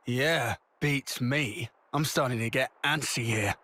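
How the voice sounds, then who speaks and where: A man's voice: medium pitch, takes it slowly, sharp tone. An actor playing a brash, impatient young fighter in a video game, blunt and casual in his talk. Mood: restless. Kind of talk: video game cutscene voice acting.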